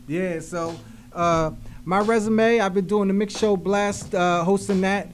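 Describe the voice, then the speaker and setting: A man's voice: sits high, steady tone, measured pace, a little hiss or hum. A young American man hosting a casual hip hop radio interview in slangy, conversational talk, close on a studio microphone. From West Philadelphia, United States. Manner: slangy, frequent 'you know what I'm saying'. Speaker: guest radio host. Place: small radio studio, indoors. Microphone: close studio microphone on arm, headphones.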